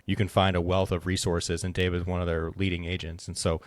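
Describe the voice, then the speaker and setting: A man's voice: deep voice, flat delivery, fast talker, dry recording. A man hosting a conversational podcast interview, introducing and questioning his guest. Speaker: podcast host and insurance agent.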